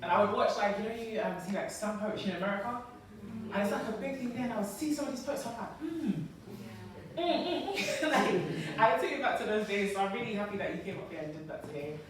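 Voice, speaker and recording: A young woman poet talks to a live audience in a casual, giggly way, slowing down to recite. Her mid-pitched voice rings out in a very echoey hall, heard from a distance over crowd murmur. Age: young adult. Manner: chatty and giggly between poems, slow and deliberate when reciting. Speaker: poet and performer. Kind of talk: casual stage chat, then poetry recital. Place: large indoor event hall with audience.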